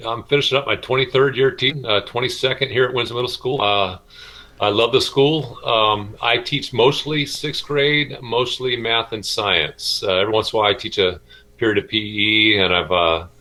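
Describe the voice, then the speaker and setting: A middle-aged man, a schoolteacher, answering interview questions informally in a halting, hesitant way with many pauses. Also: mid-range voice, steady tone, bright, forceful tone. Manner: halting, hesitant delivery with frequent pauses and repeats. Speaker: middle school math and science teacher.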